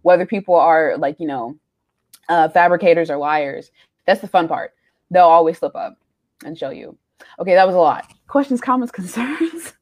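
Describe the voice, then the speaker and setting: A young woman in her twenties co-hosting a faith podcast, telling personal stories candidly with frequent pauses and ums. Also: on the low side, darkish tone. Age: young adult. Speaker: podcast co-host. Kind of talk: casual chat.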